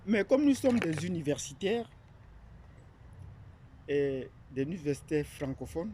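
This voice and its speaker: Man from Cameroon, mellow hesitant voice. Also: a little high, very animated, a little slow, slight background noise. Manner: hesitant, many fillers and restarts. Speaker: academic presenting his platform. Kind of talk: unscripted monologue.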